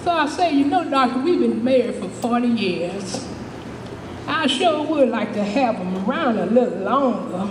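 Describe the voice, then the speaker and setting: A woman's voice: fairly high pitch, animated, normal speed, close to an everyday voice. A woman playing a chatty, good-humored older homeless widow, telling her story in a folksy, rambling stage monologue. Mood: reflective, sorrowful. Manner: folksy, rambling, repeats "you know", chuckling. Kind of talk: dramatic monologue, storytelling to a live audience. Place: indoor stage performance, distant microphone. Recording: echoey hall from far off, faint noise.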